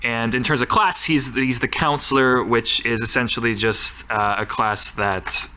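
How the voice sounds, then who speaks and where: Crisp, bright, low-pitched man describing a character. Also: fairly even intonation, a little slow. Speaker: tabletop game player. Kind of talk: casual improvised character description.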